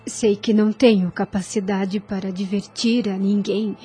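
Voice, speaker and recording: An actress with a Brazilian accent playing a humble, devout nun in a radio drama, formal, deferential and pleading. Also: female voice, mid-range voice, steady tone, moderate pace, mellow, faint music behind, close to an everyday voice.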